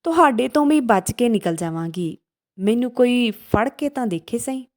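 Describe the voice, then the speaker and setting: A woman's voice: a little high, expressive, moderate pace, a little mellow, lightly acted. A woman voicing a boastful, taunting runaway piece of fried bread in a children's storybook tale.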